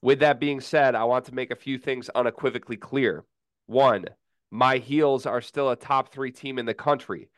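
A man co-hosting a casual sports podcast, sick and feeling rough, with dry deadpan teasing. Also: medium pitch, no echo. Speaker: podcast co-host.

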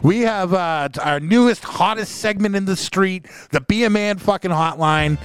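A man with a fairly high voice chats casually as a podcast co-host. He jokes and swears freely, with music playing along. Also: moderate pace, smooth, no echo. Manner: profane, joking. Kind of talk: casual chat.

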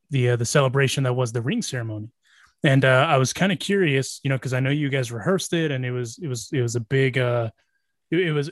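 An American man with a mid-pitched voice in a very dry recording. He hosts casually, winding his way through long, wordy questions. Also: no room sound. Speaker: podcast co-host.

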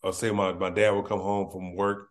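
A man from Los Angeles hosting a casual interview, talking in a laid-back, slangy way with a deep voice and ready laughter. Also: flat delivery, normal speed. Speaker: podcast host.

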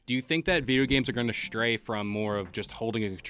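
A man's voice: medium pitch, a little fast, pushed and bright. A man hosting a casual group podcast, inquisitive and conversational. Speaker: podcast host.

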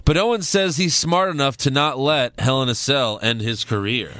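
A man's voice: mid-range voice, sharp tone, clean tone, no echo. A man with a slightly nasal voice, recovering from a sinus infection, recapping wrestling in casual podcast chat. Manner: recaps with quick asides and laughs. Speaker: podcast host.

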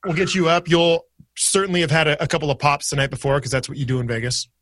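A man's voice: a little high, level tone, fast, a bit bright, no echo. A man co-hosting a casual sports podcast, chatty and jokey as he steers the segments. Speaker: podcast co-host.

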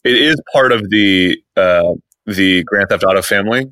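A man's voice: fairly low pitch, moderate pace, pushed and bright, dry recording. A man hosting a casual podcast chat, rambling with frequent restarts and fillers. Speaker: podcast host. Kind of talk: casual chat about internet posts.

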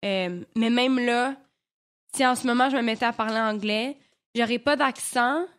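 A woman's voice: fairly high pitch, moderate pace, a bit bright. Chatty young Québécoise woman. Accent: Québécois French. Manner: chatty, full of fillers like "comme" and "euh". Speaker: actress guest. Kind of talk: casual interview chat.

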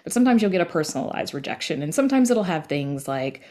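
Woman, podcast guest, low pitch, casual explanatory talk, conversational, frequent filler phrases. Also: big pitch swings, fast talker, a little mellow. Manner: conversational, explanatory, peppered with you know and like. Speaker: podcast guest, writer and audio drama creator.

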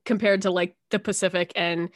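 A woman's voice: fairly low pitch, fairly even intonation, brisk, dry recording, clean studio sound. A woman in her late twenties from the US East Coast, hosting a casual podcast chat with a chatty, self-deprecating manner. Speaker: podcast host.

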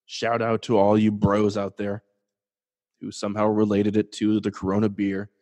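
A man's voice: fairly low pitch, monotone, normal speed, a little mellow. Young male podcast host, stuffy-nosed, casual and jokey sports chat. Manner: casual, jokey, restarts and self-deprecating asides. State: congested, sniffly. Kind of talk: solo sports podcast commentary.

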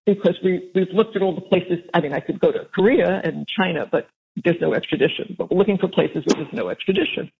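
A woman's voice: on the low side, fast talker, vocal fry, husky. A woman in a private conversation on a recorded phone call, heard over a narrow, tinny line.

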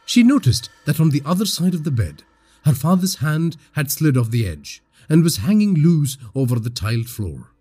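A man from India narrating a scripted horror story in a husky voice, with dramatic, suspenseful delivery. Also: fairly high pitch, big pitch swings, normal speed, mellow.

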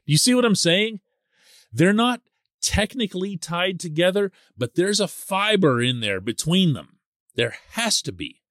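Animated sports podcast host man. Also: sits high, a little slow, dry recording, studio quality. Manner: animated and emphatic, stresses key words. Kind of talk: solo podcast commentary.

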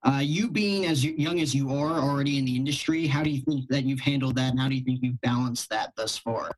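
A man interviewing a guest in a casual, halting way, working through long-winded questions. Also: medium pitch, rough recording.

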